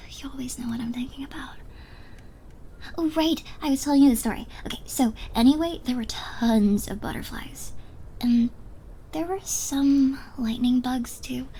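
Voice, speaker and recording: A woman's voice: fairly high pitch, dark tone, slight background noise. A young woman playing a drunk, giddy, smitten rival in an ASMR roleplay, rambling and stammering as she trails off. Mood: earnest, flustered.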